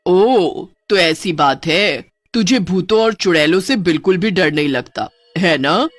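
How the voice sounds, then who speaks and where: A woman voicing a menacing, gloating witch in a horror cartoon, with slow, drawn-out taunts. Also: on the low side, expressive, no room sound. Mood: mocking menace. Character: menacing gloating female witch haunting her theater. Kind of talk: Hindi horror cartoon voice acting. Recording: music under the voice.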